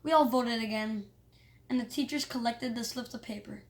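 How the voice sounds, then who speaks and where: A high-pitched reader reading a children's story aloud in an animated but halting way, with small stumbles.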